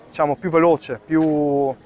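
A man in his thirties, a chef giving a live cooking demonstration under a tent in a park, explaining each step as he works, with fillers and pauses, recorded by a camera at a distance. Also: a little high, flat delivery, darkish tone, dull audio. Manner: explanatory, thinking aloud with fillers while working. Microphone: far camera.

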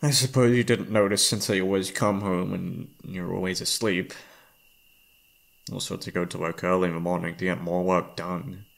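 A man's voice: low-pitched, fairly even intonation, normal speed, creaky. A man playing an exhausted, affectionate young boyfriend in an intimate ASMR roleplay, speaking hesitantly with sighs. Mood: weary, reluctant. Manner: tired, sighing, hesitant, soft-hearted.

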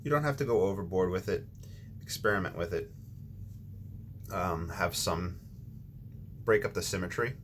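A man's voice: low voice, moderate pace, long pauses, a little hiss or hum. A man hosting a watercolor painting tutorial, talking casually as he paints with frequent ums and restarts. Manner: relaxed, thinking aloud with ums and restarts.

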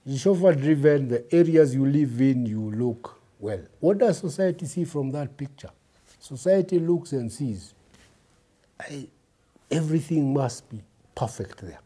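The husky, mellow voice of a Kenyan man in his fifties, the guest on a testimony show who leans in to tell his life story and press each point home. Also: on the high side. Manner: reflective, emphatic, addresses listeners directly. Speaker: interview guest. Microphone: lapel mic.

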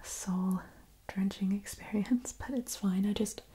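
A woman's voice: medium pitch, fairly even intonation, takes it slowly, warm tone. A young woman playing a genteel, blasé vampire in an ASMR roleplay, whispering politely and hesitantly. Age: young adult. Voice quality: breathy. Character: genteel pale female vampire, breezy and blasé. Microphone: close microphone.

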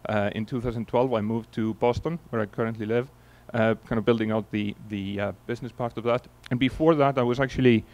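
A man in his forties from Iceland with an Icelandic accent, giving a relaxed conference keynote into a handheld mic, telling stories with many fillers and restarts. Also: mid-range voice, fairly quick, hardly any pauses, dull audio. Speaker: keynote speaker. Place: large conference hall on stage. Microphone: handheld microphone.

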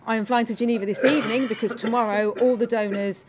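A woman's voice: a little high, fairly even intonation, quick pace, heavy background noise. A middle-aged British woman giving formal panel remarks as a government development official. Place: conference room, indoors.

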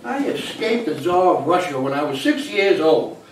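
A man's voice: sits high, big pitch swings, moderate pace. An older man playing a stubborn, thrifty immigrant grandfather in a live comic stage play, with a dry, argumentative way of talking. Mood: stubborn, storytelling. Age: older, seventies or over. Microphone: distant camera microphone in the audience. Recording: distant, echoey stage recording with noise.

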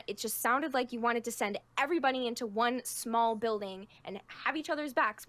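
A woman's voice: a little high, fairly bright tone, no room sound, close to an everyday voice. A woman playing an anxious, protective leader of zombie survivors in improvised roleplay, exasperated and hesitant but firm.

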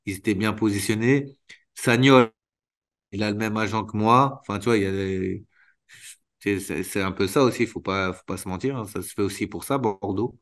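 A man in his thirties, a former footballer, talking candidly in an interview over a video call, restarting sentences and filling pauses often. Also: fairly low pitch, a bit bright. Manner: spontaneous, halting, many restarts and fillers. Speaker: retired footballer guest. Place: small room indoors. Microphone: video call webcam.

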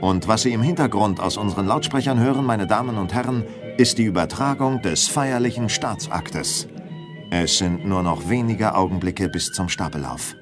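An actor playing an awestruck live radio reporter, reverent and ceremonious, in a radio drama. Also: male voice, fairly low pitch, animated, normal speed, over music, lightly acted. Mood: awed.

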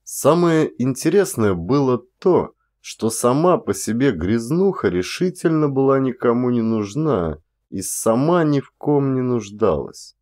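A man reading a literary audiobook as an actor, with measured, dramatic phrasing and deliberate pauses. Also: medium pitch, big pitch swings, darkish tone. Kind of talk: expressive literary audiobook narration.

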